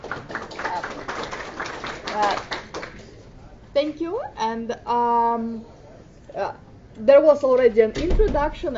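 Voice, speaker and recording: A middle-aged woman from Finland giving a conference talk, speaking hesitantly with many fillers. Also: darkish tone. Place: conference room. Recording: muffled conference audio with faint rumble.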